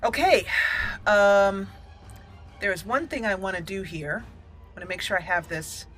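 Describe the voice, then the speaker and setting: A woman hosts a casual let's play in a bright, clear-cut tone at a middling pitch. She thinks aloud and often repeats words as she talks through the game. Music plays faintly behind her. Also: a little slow. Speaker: gaming channel host.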